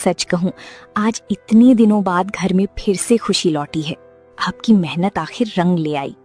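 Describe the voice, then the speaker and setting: A woman voicing a caring young wife, speaking gently. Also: lowish voice, a little slow, slightly rough, soft background music. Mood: happy. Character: caring young wife Rupa, warm and relieved.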